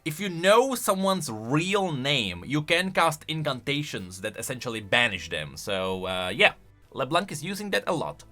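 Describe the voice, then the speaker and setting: Man, highish lively voice, casual commentator, emphatic, thinking aloud, faint music behind. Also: normal speed. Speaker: YouTube lore commentator. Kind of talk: casual, semi-improvised commentary.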